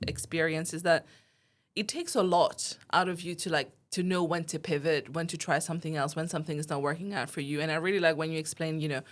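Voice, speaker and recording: A woman hosting a podcast interview, asking questions conversationally and encouraging her guest with quick affirmations. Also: low-pitched, fairly even intonation. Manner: encouraging, with frequent short affirmations. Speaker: podcast host and university teacher.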